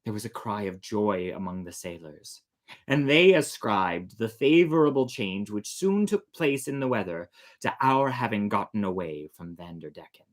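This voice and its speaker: A man reading a story aloud as a dramatic, deliberate and expressive storyteller. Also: on the low side. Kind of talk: dramatic scripted storybook narration.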